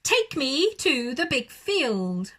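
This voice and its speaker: A woman plays a cheeky, lazy young owl from a children's storybook. He cries out in a high, loud, theatrical voice, with big swoops in pitch. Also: sing-song, slow pace, full character voice. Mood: mock panic. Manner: theatrical, exclaiming.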